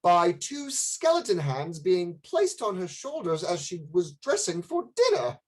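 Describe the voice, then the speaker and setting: A grave lord in a radio drama. The voice is husky and widely inflected, with courteous, stately phrasing and a formal manner. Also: male voice, high voice, wildly swooping pitch, measured pace, heavily acted. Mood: solemn warning. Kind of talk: radio play acting.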